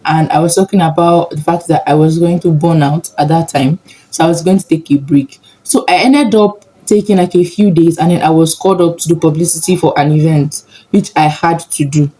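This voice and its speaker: A woman's voice: deep voice, steady tone, fairly quick, warm tone, low-quality recording. A young Nigerian woman with a Nigerian accent, chatting casually and upbeat in a sit-down vlog. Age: young adult. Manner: chatty, upbeat, laughs often. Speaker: vlogger, medical student.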